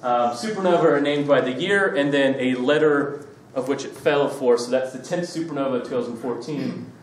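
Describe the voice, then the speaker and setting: A man's voice: medium pitch, normal speed, a little hiss or hum. A man with an Australian accent giving a joking, chatty public lecture, with many fillers. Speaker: guest lecturer. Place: lecture hall. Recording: echoey hall, mic from far off.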